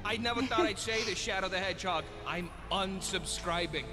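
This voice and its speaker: A man's voice: high voice, moderate pace, natural voice. A man voicing an exasperated, bragging devil in an improvised comedy game fandub, swearing and bickering. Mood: sulky threats. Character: exasperated, whiny, bragging devil villain, all-powerful ruler of hell.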